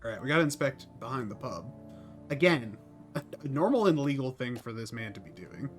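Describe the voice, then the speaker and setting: A man's voice: medium pitch, sing-song, fairly quick, faint music behind. A man giving casual, ironic live commentary as he streams a game. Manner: jokey, ironic.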